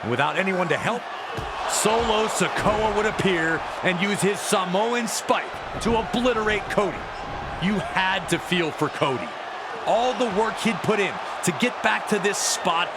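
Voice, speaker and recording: A man's voice: sits high, a little slow. A man narrating wrestling matches like a sports documentary, with emphatic, dramatic storytelling. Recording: loud crowd roar and arena noise. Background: roaring arena crowd.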